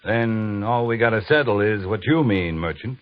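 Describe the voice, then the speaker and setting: An actor playing a stern, exacting cavalry captain with a clipped, commanding manner in an old-time radio western. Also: male voice, on the low side, expressive, moderate pace, natural voice. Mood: brusque, restrained. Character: stern, exacting male cavalry captain, brusque, grudgingly fair. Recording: muffled old radio broadcast, audible hiss.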